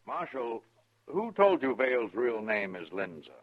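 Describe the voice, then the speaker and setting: Casting: skeptical frontier army major, authoritative, husky, very tinny old radio drama sound. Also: male voice, mid-range voice, very animated, unhurried. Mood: dismissive, suspicious. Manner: clipped, authoritative, dismissive. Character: curt, skeptical cavalry major commanding a frontier fort. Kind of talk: 1950s radio drama acting. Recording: tinny vintage 1950s radio recording.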